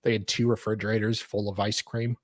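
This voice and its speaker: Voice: mid-range voice, level tone, brisk, dry recording, studio quality. A livestream co-host chatting casually. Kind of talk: casual chat.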